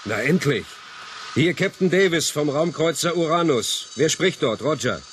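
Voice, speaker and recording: Gruff, impatient spaceship captain. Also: male voice, on the high side, animated, moderate pace, a bit bright, a little hiss or hum. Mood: curt. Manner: brusque, barking orders. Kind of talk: 1980s German science fiction radio play.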